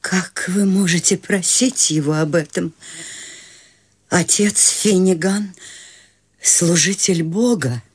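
An actress playing Maude, an eccentric, free-spirited older woman, lively and teasing, in a radio play. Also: fairly low pitch, takes it slowly, pauses often, slightly rough. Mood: pleading.

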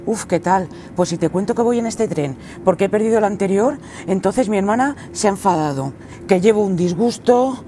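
A woman acting in a radio drama as a scatterbrained, excitable young activist, with a Spanish accent from Spain and slangy, chatty delivery. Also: medium pitch, normal speed, a little hiss or hum, close to an everyday voice. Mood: flustered.

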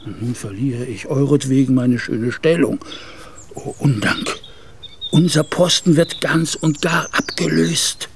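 A man playing an anxious, aggrieved Turkish gendarme in a radio drama, speaking in a halting, pleading way. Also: mid-range voice. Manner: furtive, pleading, halting delivery. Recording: clearly noisy background.

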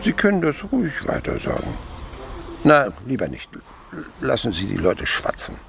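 An actor playing a miserly old French father in a German radio play, grumbling, domineering and gravelly. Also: medium pitch, huge pitch swings, normal speed, background noise, full character voice. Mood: guarded. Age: older. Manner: grudging, stammers at times.

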